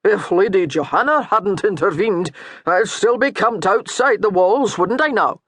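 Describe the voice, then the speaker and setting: A man's voice: high-pitched, measured pace, a bit bright, no room sound, pitched above the performer's own voice. A man doing a mocking Scottish priest. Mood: baiting. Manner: baiting, stern, mocking. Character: mocking dour Scottish priest, male, middle-aged.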